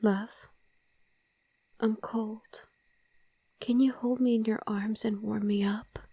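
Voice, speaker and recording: A woman's voice: on the high side, fairly even intonation, dark tone, husky, no room sound, exaggerated voice. A woman playing a loving, wistful vampire wife in an intimate ASMR roleplay, speaking tenderly and often in a whisper, close to the microphone. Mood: affectionate. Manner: slow, tender, coaxing murmur. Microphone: close, dry microphone.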